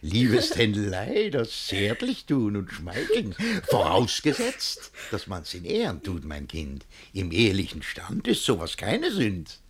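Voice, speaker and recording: An actor playing a domineering, self-satisfied middle-aged guardian, pompous and preachy, with a husky voice in theatrical rhymed-verse radio drama. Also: male voice, on the low side, huge pitch swings, measured pace, few pauses, exaggerated voice. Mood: smug, coaxing. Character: domineering, self-satisfied older guardian lecturing his young ward. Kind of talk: German radio play acting in rhymed verse.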